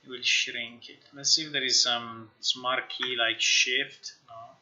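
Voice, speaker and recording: Sharp, bright-voiced man thinking aloud, slow-paced. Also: medium pitch, little pitch movement. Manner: halting, musing, talks to himself. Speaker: game developer live-streamer. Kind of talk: casual live screen-share commentary, thinking aloud. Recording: slightly dull, lo-fi stream audio.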